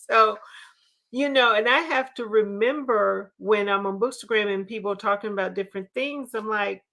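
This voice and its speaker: A woman's voice: medium pitch, normal speed, vocal fry, sharp tone. A woman in her fifties hosting a casual panel chat, talking in an enthusiastic, chatty way. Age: 50s. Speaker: panel host. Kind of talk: casual book community chat.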